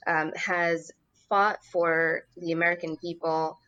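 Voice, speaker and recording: A woman from Morocco, a congressional candidate, answering interview questions in an earnest, measured way with frequent um and uh. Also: on the low side, fairly even intonation, pushed and bright, no room sound, highs cut off. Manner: earnest, measured, many fillers. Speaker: political candidate, guest.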